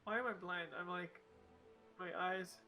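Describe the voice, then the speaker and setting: A man voicing comic space-film characters in an amateur script reading, animated and playful, with music underneath. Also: sits high, measured pace. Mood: bewildered. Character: film characters, from stunned pilots to clumsy alien sidekick. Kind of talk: amateur comedic script table read.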